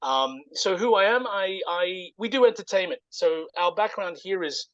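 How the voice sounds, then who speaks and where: A man giving an informal, halting explanation as a guest presenter in casual conversation. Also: high-pitched, expressive, a bit bright, dry recording, dull audio. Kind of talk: informal project pitch, casual chat.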